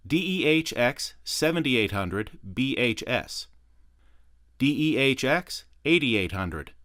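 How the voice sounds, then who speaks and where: A man's voice: medium pitch, fairly bright tone, no room sound, studio quality. A man narrating a how-to product demonstration, explaining methodically step by step.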